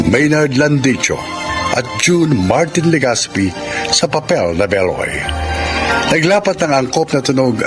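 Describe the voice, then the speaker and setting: A man announcing the closing credits of a radio drama in a scripted style. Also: a little high.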